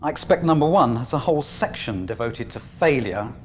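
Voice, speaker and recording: An actor playing a weary male overseer of the village, speaking in a measured, wry and quietly regretful way. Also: medium pitch, animated, a little hiss or hum, close to an everyday voice. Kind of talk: fan audio drama acting.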